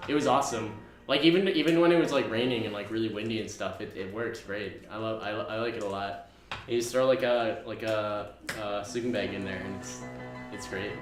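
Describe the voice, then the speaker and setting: A man's voice: on the low side, brisk, soft background music. Young man, twenty, podcast co-host, rambling, filler-heavy, echoey room. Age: 20s. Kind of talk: casual chat.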